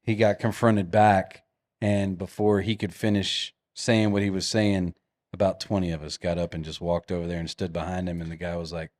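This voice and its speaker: A man's voice: low voice, little pitch movement, moderate pace, darkish tone. A man hosting a podcast, laid-back, asking questions and chuckling along. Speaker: podcast host. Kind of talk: casual podcast chat.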